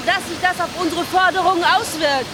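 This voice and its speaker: Female radio play role: insistent, suspicious businesswoman from Iraq, very high voice, clear machinery noise behind. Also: fairly even intonation, fairly quick. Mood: accusing. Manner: insistent, accusing. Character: combative, suspicious Iraqi-born wife of bottler. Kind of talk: naturalistic radio drama acting.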